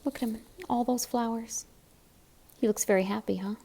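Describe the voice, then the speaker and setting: A woman's voice: on the high side, fairly even intonation, normal speed, creaky, mellow. A woman reading a children's story aloud expressively, with chatty asides and questions to the young listener. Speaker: picture-book reader.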